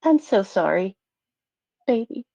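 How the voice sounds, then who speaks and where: A woman's voice: medium pitch, very animated, slow, vocal fry, mellow, dry recording, full character voice. A woman playing a tender, grieving mother in a table read, on muffled audio. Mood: tearful, grieving.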